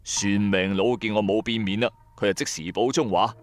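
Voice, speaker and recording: A man's voice: on the low side, steady tone, moderate pace, natural voice. A man playing a bankrupt, hot-tempered trader who narrates his own story in colloquial, emphatic style for a radio drama. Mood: uneasy. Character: bankrupt male electronics trader, hard-pressed, hot-tempered, streetwise. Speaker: first-person narrator and lead. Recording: background music under voice. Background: music.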